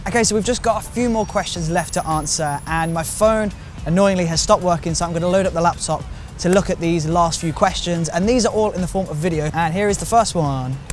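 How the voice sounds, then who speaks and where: A high-pitched male voice speaks over prominent music. He sounds slightly croaky from a cold but stays chatty and encouraging as he takes viewer questions in a casual vlog style. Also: fairly quick. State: recovering from a cold. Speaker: video creator host answering viewer questions. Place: outdoors in public urban spots.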